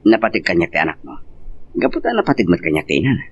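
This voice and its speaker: A man's voice: deep voice, huge pitch swings, quick pace, breathy edge, highs cut off, exaggerated voice. A man acting an earnest, lovelorn suitor in an Ilocano romance drama, persuasive in manner, with an Ilocano Filipino accent.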